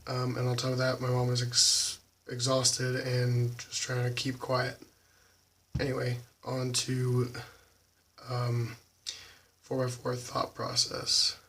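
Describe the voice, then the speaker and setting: A slightly hoarse young man casually talking through how he solves a puzzle cube, halting with lots of ums. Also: mid-range voice, monotone, distant mic. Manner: halting, many ums, soft and quiet. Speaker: YouTube cubing channel host. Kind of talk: casual tutorial walkthrough.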